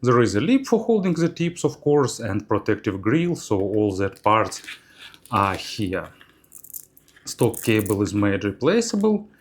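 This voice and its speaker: A man with a Ukrainian accent reviewing earphones and unboxing them, pausing often on "uh" fillers. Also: on the low side, very animated, slightly rough. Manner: unhurried, halting, many "uh" fillers.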